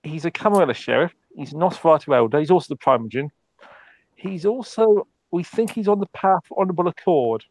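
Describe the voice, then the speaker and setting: A man's voice: on the high side, lively intonation, a little fast, darkish tone, dry recording, rough recording. Guy doing in-character tabletop rp, lots of ums, restarts, dead dry recording. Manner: hesitant, many ums and restarts. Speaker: tabletop roleplay player.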